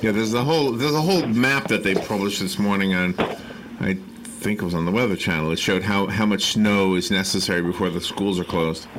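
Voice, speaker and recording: A man hosting a casual radio chat in an animated way, exclaiming and asking lots of questions. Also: lowish voice, a little fast, background noise. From northern United States. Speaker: radio host. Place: radio studio.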